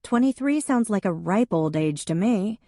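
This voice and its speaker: A woman reading an audiobook, voicing a sarcastic young woman with a dry, deadpan wit. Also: mid-range voice, moderate pace, no room sound, voice pushed up, lightly acted.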